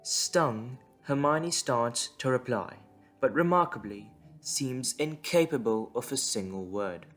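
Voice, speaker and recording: A man's voice: medium pitch, animated, takes it slowly, a bit bright, faint music behind. A man reading scripted story narration as the narrator of an audio drama.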